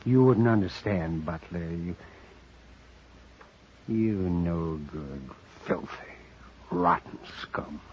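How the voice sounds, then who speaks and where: An actor playing a principled, weary frontier doctor in a radio western, American, halting and emotive. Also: male voice, low voice, animated, full character voice. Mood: bitter contempt. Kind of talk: 1950s radio western acting. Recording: muffled old radio recording, faint noise.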